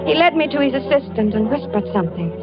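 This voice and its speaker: An anguished wife in an old radio thriller, her voice fairly high and intensely dramatic. She sounds haunted as she tells her story. The vintage recording is dull, with music. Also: animated, quick pace. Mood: grim, haunted. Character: anguished wife haunted by her husband's fatal dreams, narrating. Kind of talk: old-time radio suspense acting, first-person narration.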